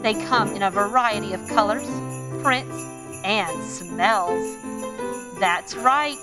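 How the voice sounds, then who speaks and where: Female radio advert voice over loud jingle. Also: medium pitch, slow pace. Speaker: sponsor announcer. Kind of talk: mock radio advert read. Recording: loud jingling music behind the voice.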